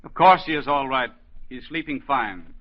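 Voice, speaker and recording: A man's voice: mid-range voice, lively intonation, normal speed, natural voice. An actor playing a shrewd, scolding elderly psychiatry professor in a 1940s radio drama, with imperious, fatherly delivery. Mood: testy, matter-of-fact. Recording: dull, lo-fi 1940s radio broadcast.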